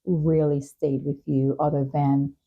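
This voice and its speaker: Woman podcast host, really low warm voice, empathetic interviewer, bit of a dull recording. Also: little pitch movement, measured pace. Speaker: talk show and podcast host. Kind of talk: interview conversation.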